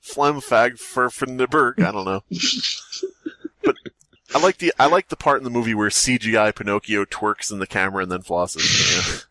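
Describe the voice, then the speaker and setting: A man's voice: fairly low pitch, moderate pace, bright, forceful tone, no room sound. A man hosting a comedy podcast, joking profanely and reading horror stories aloud in a casual group chat. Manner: jokey, profane, riffing. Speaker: podcast host.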